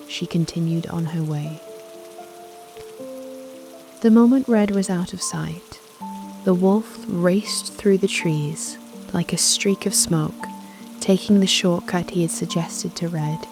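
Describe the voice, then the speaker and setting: A woman narrating a children's bedtime fairy tale in a gentle scripted storytelling style. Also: fairly low pitch. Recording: soft lullaby music and rain behind.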